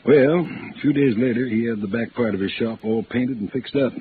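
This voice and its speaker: A man's voice: medium pitch, normal speed, narrow, tinny audio, lightly acted. A man playing a folksy, garrulous insurance agent in his late fifties in an old radio drama. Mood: folksy, gossipy. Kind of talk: 1950s radio drama acting. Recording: band-limited old radio, faint noise.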